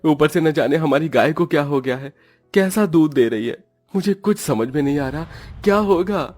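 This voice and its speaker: A man's voice: on the high side, lively intonation, normal speed, a little mellow, soft background music, pitched above the performer's own voice, lightly acted. A man voicing a poor, desperate village father in a cartoon story, anxious and exclaiming. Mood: worried.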